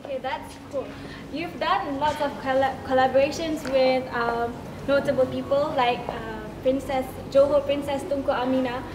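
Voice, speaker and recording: Lady interviewer, high voice, casual questions, clear noise behind her. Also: fairly even intonation, moderate pace, few pauses. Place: indoor clothing boutique, off camera. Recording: clearly noisy shop ambience.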